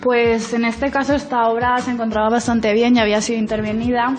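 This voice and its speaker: A woman's voice: a little high, little pitch movement, brisk, soft background music. A woman art restorer explaining her work informally in an interview.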